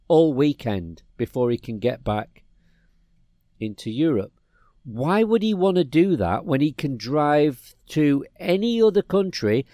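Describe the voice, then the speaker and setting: A middle-aged man from England chats on a podcast and spins a meandering story full of restarts. His voice is warm and mellow. The sound is dry and close. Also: mid-range voice, no echo. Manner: rambling storyteller, many restarts. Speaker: podcast co-host. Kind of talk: casual chat.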